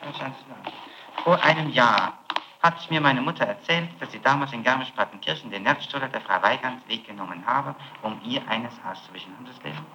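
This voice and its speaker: A man's voice: medium pitch, fairly quick, highs cut off. Probing male interrogator on an echoey, noisy tape. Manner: probing, insistent, confronting. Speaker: prosecutor questioning a suspect. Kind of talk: formal interrogation, reading out statements.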